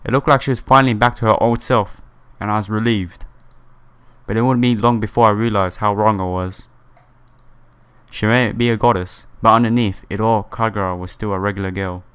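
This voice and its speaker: A man's voice: fairly low pitch, steady tone, fairly quick, fairly bright tone, smooth. A man doing a casual visual novel playthrough, reading the story aloud and reacting in an informal, chatty way. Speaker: let's play YouTuber. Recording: music behind the voice. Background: game music.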